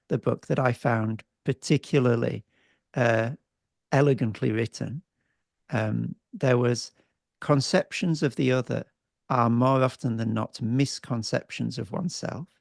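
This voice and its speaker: A man introduces a guest speaker at an academic seminar, full of praise and stopping often on fillers. His voice is gravelly and the sound is dry, heard over a video call. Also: mid-range voice, no room sound. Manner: warm praise, many fillers and restarts. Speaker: seminar host.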